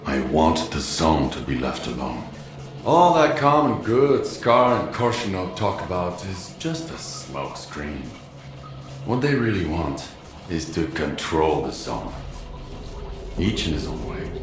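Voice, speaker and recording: An actor playing a stern, world-weary outcast in a video game, terse and accusing. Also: male voice, low voice, big pitch swings, slow, reverberant, full character voice. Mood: bitter, defiant. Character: grim, world-weary male outcast of a forbidden zone. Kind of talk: video game cutscene acting.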